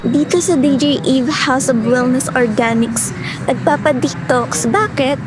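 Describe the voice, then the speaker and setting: A woman's voice: high-pitched, measured pace, noisy background. A woman playing a sassy, frank young best friend in a Filipino audio drama, breezy and chiding. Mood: nonchalant.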